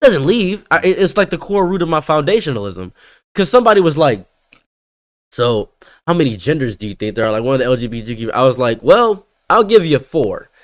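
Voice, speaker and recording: A man's voice: on the high side, fairly quick, no room sound, clean studio sound. A man in his thirties, born in Egypt, chatting casually on a video call and acting out quoted remarks as he tells stories. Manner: animated storytelling, acts out quotes. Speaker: streamer host. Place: bright green-walled room indoors. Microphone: webcam on a video call.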